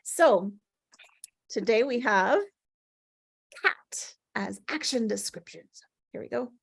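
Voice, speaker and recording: A woman's voice: a little high, lively intonation, takes it slowly, vocal fry, slightly rough, poor audio. A woman hosting an online table read in casual, slightly scattered chat over a video call.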